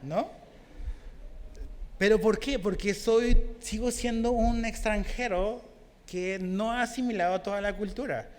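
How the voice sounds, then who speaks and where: A man's voice: high voice, steady tone, unhurried. Chilean pastor guy, bit mexican sounding, chatty sermon w lots of questions, echoing church. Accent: Chilean Spanish with Mexican touches. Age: young adult. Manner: conversational, asks the congregation questions. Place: church hall.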